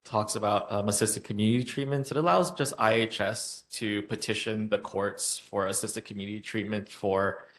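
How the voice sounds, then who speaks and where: A man, a state legislator, gives an informal report at a community center meeting, pausing often with fillers. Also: on the low side, a bit bright. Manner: informal, hesitant, frequent filler pauses.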